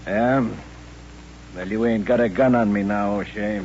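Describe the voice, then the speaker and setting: An actor playing a mean, drunken, armed cowhand with an American Western drawl and a bullying, menacing manner in an old radio western. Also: male voice, lowish voice, fairly even intonation, measured pace, darkish tone, lightly acted. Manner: menacing, bullying, rustic speech. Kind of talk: 1950s radio western acting. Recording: dull, noisy old-time radio recording.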